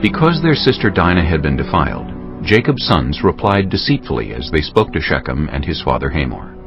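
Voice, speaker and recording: Very deep solemn male narrator. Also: very animated, brisk. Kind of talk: scripted dramatic Bible narration. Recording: dull sound, clear music bed.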